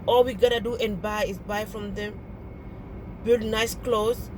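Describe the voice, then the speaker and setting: A woman with a French accent speaks in an earnest, pleading way, repeating herself and restarting phrases. Her voice sits at an ordinary middle pitch. Traffic noise from a street is clearly heard behind her. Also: fairly even intonation, measured pace. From French-speaking Africa. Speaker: video blogger. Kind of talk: direct-to-camera appeal.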